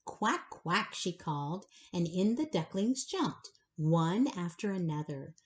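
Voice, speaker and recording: Slow, animated woman reading a bedtime story. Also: lowish voice. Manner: slow, animated, pausing to address the child listeners directly. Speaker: storyteller reading a children's book aloud. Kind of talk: expressive bedtime read-aloud to young children.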